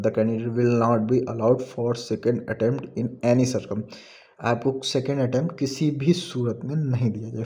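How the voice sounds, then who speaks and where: A man with an Indian accent giving an informal explainer, reading out an official notice haltingly and commenting on it as he switches between Hindi and English. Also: medium pitch, dark tone, lo-fi sound. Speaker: exam information presenter.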